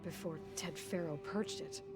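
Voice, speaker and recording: An actress playing a steady, probing young heroine in a video game, direct and measured, blunt but caring. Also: female voice, lowish voice, lightly acted. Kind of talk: video game dialogue acting. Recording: music behind the voice.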